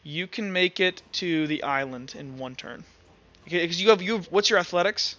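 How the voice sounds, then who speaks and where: High-voiced, bright man running a tabletop game. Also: moderate pace, lo-fi sound. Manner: explanatory, instructive. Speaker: game master. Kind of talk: casual tabletop game refereeing.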